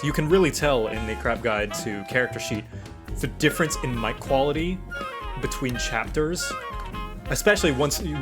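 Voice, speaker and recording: A man with a slight stutter chatting casually on a livestream, explaining his creative process as an animator in a halting, rambling way. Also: mid-range voice. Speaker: streamer and animator. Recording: music playing behind.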